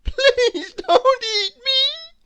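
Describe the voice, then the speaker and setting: A woman's voice: very high voice, animated, drawn-out words, fairly bright tone, pitched above the performer's own voice, exaggerated voice. A woman voicing a small, timid male worm from a children's storybook, plaintive and exclaiming. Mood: frightened, pleading.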